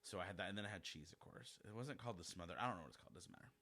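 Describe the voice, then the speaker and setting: Animated, chatty man. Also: sits low, racing. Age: 40s. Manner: chatty, animated, self-deprecating, addresses listeners directly. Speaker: podcast host. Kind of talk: casual solo podcast monologue.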